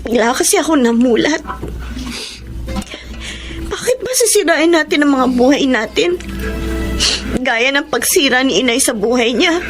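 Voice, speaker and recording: A woman's voice: sits high, expressive, unhurried, pauses often, over music. A woman playing a hardworking, earnest and emotional older sister in a radio drama, with a Filipino accent. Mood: earnest, sorrowful. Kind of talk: Tagalog radio drama acting.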